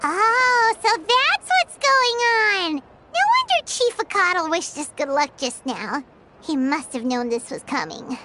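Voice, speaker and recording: A woman voicing a bubbly, childlike little fairy companion with a squeaky voice in a video game. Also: slow, very noisy, full character voice. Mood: curious, tempted. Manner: childlike, excitable, refers to self in third person. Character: tiny floating fairy girl companion, bubbly, greedy for treats. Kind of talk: animated video game voice acting. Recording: loud music behind.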